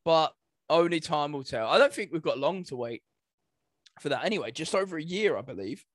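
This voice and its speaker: A man's voice: fairly high pitch, expressive, a little fast, no echo, studio quality. A man talking casually as a co-host on a podcast. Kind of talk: casual chat.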